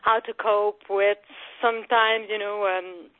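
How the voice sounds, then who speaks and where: A woman speaks over a tinny phone line. Her delivery is hesitant and peppered with fillers and soft laughs. The sound is dry and thin. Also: mid-range voice, level tone, a little slow, no room sound. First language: French. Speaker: singer guest. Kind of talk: phone interview.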